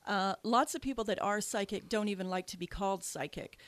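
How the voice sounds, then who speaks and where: A woman with a Canadian accent hosts a radio talk show, speaking in a loose, chatty way full of ums and restarts. Her pitch sits in a middle range, and the studio sound around her is dry and close. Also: fairly quick, no echo. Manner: chatty, many fillers and restarts. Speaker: radio show host, psychic medium.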